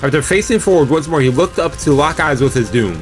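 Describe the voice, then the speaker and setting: A man's voice: a little high, expressive, fairly quick. A man live-streaming a read-aloud of a visual novel, stumbling over words now and then and dropping into casual asides. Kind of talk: live let's-read narration with casual reactions. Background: game music.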